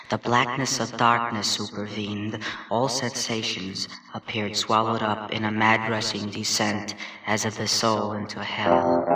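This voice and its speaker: A man giving a dramatic reading of a horror story, with a deliberate, anguished, theatrical delivery. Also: on the low side. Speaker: story narrator. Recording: roomy, distant vintage recording with music. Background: prominent music.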